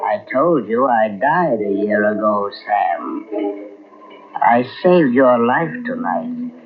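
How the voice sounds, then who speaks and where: An actor playing the calm, solemn ghost of a man who died a year ago, speaking in a slow, measured way, in 1940s radio drama style. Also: fairly low pitch, exaggerated voice. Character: ghostly dead man walking between worlds, calm, solemn. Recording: tinny old radio transcription with hiss.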